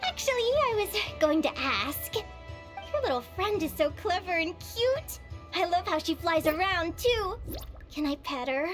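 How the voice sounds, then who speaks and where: A woman's voice: very high-pitched, sing-song, a little slow, heavily acted. A woman voicing an eager, curious young woman guild advisor in a video game, friendly and enthusiastic in manner. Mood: impressed, playful. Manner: bubbly, enthusiastic. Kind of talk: video game character dialogue. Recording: music behind the voice.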